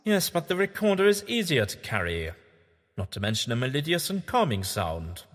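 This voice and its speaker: A man's voice: mid-range voice, wildly swooping pitch, moderate pace, fairly bright tone, no echo, clean studio sound. A man playing a whimsical, kindly, evasive time-travelling adventurer in an audio drama, with fussy and hesitant politeness. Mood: fussy, indignant.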